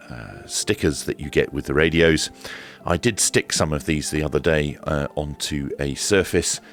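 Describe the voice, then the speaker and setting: A British man giving casual, informal hands-on commentary as he presents a product review. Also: rumbling low. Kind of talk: casual unboxing and demonstration commentary.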